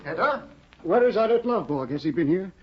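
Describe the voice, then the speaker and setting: An actor playing a fussy, doting scholarly husband, flustered and excitable, saying "eh?" a lot, in 1950s radio drama style. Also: male voice, high-pitched, fairly quick, music in the background. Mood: anxious. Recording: dull lo-fi 1950 radio broadcast.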